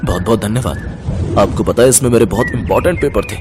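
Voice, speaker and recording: A man's voice: fairly low pitch, brisk, background noise, natural voice. A man playing a grateful, polite, kindly wealthy businessman in a cartoon story.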